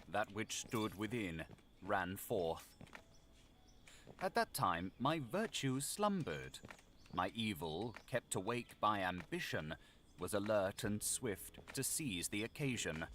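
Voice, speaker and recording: A man playing a grave, scholarly Victorian gentleman confessing his secret evil in a formal, theatrical audiobook narration. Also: fairly low pitch. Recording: loud vehicle and machinery noise behind. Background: vehicle and machinery noise from a video game.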